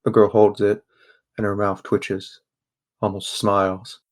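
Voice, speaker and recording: A man narrating a first-person audio drama in a measured, understated way. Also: on the low side, level tone.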